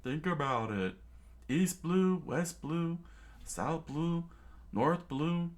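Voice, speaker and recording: A man's voice: fairly high pitch, slow pace, pitched above the performer's own voice. A man doing a scoffing, bossy ship's chef. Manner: scoffing, lecturing. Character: gruff older male ship's chef, mocking and bossy.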